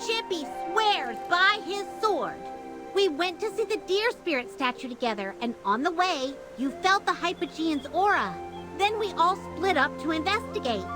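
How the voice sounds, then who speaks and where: A woman's voice: extremely high pitch, big pitch swings, normal speed, music in the background. A woman voicing a small, earnest creature sidekick in a scripted game story, speaking of themself in the third person. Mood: insistent.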